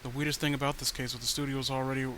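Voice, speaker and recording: A man's voice: medium pitch, flat delivery, very fast, sharp tone. A man narrating a horror story in a casual manner, stumbling over the odd word. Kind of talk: dramatic horror story reading. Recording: faint background noise.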